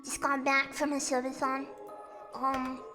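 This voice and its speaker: A woman livestreamer speaks in a very high, childlike register with a slight croak. Her pace is unhurried, and her casual chat is playful and self-deprecating. Music plays clearly behind her. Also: monotone. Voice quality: high, girlish voice. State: slightly croaky and sniffly from singing. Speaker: livestreamer hosting a chat and karaoke stream.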